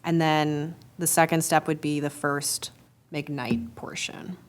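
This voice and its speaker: A woman's voice: low voice, level tone, slow. A woman commissioner at a public meeting in a council chamber, hesitant and hedging as she names her priorities. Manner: hesitant, hedges with "I would say".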